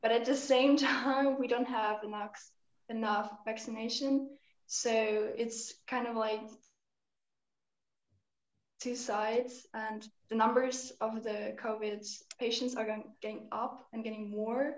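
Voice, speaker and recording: Casting: German teen girl, slightly high, chatty, roomy and muffled call audio. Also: steady tone, slow pace. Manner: chatty, uses 'like' and 'kind of'. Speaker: guest. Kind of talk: casual online chat. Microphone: video call. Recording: muffled, echoey room over a call.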